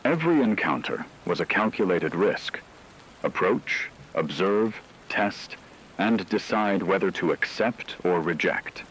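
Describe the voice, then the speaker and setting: A man narrates with a low, solemn voice that moves expressively through measured, scripted lines. The delivery is that of a dramatic documentary. Rain and radio-like noise run clearly behind him. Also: expressive, a bit bright, low-quality recording.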